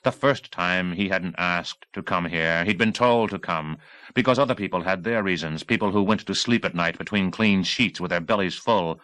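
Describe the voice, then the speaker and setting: A man reading an action novel as an audiobook narrator, with intense, deliberate phrasing in short bursts. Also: low-pitched, a bit bright.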